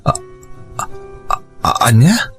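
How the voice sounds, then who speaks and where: A man's voice: slow, soft background music, natural voice. A man playing a poor, devoted boyfriend in a Filipino audio drama, bewildered and pleading, with stammering questions. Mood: bewildered, hurt.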